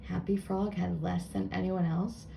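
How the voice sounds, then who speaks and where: A woman reading a children's book aloud with expressive narration. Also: fairly low pitch, a little slow, creaky, slight background noise.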